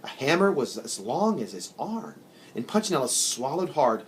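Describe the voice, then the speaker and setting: Male narrator for a children's storybook: expressive and animated, deliberate phrasing, slight background noise. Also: mid-range voice. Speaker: story reader.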